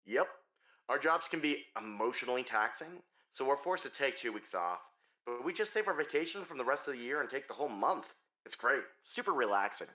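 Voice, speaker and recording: Man playing a relaxed, mid-pitched grim reaper. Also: a little fast. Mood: easygoing.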